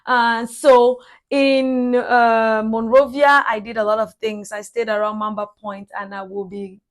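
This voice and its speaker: A woman's voice: a little high, level tone, measured pace. A young woman with a Cameroonian accent, recapping her travels in a chatty vlog with fillers and restarts. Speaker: travel vlogger.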